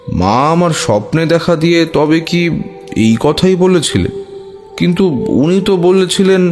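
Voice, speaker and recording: An actor playing a tantric who recounts his own eerie adventures in a suspenseful horror audio story, with hesitant pauses. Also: fairly high pitch, a little mellow. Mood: pondering, suspenseful.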